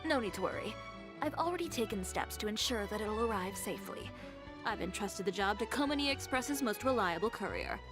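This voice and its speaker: Cool young female designer, played by a woman: self-assured, blunt, mid-pitched, anime game delivery over music. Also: lively intonation, brisk, close to an everyday voice. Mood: brisk, composed. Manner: composed, blunt, dry. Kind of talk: anime-style video game voice acting.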